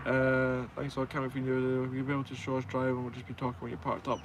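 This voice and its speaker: A Scottish man in his twenties talks in an average-pitched voice over faint vehicle noise. He hosts a live stream in a casual, chatty way, answering viewers as they come in. His banter is informal and self-deprecating. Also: monotone, very fast. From Scotland. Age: 20s. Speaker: truck driver and live stream host.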